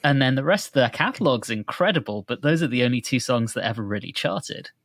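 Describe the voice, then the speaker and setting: A man's voice: mid-range voice, expressive, fast, a bit bright, no room sound. Dry-sounding man chatting. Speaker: music podcast co-host. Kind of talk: casual music discussion.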